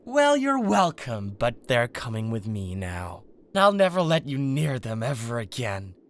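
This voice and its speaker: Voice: medium pitch, wildly swooping pitch, measured pace. A performer playing a cocky, protective male king of Hell in an ASMR roleplay, sarcastic and defiant.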